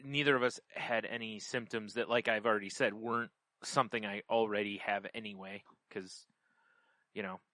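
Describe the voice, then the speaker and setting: A man's voice: on the low side, steady tone, normal speed, bright, forceful tone, no room sound. A man chatting casually as a podcast host, rambling through personal anecdotes and acting out bits of conversation as he goes. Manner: rambling, conversational, quotes himself and others.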